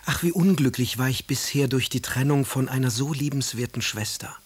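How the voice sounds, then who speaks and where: Man playing a passionate, melancholy poet, mid-pitched. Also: fairly quick, breathy edge, natural voice. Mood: tender. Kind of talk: literary radio drama recitation of letters and verse.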